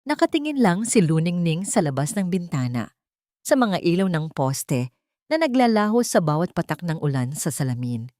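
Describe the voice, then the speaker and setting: A woman's voice: lowish voice, sing-song, moderate pace, darkish tone, no echo, clean studio sound. A woman reading a scripted dramatic story as its narrator.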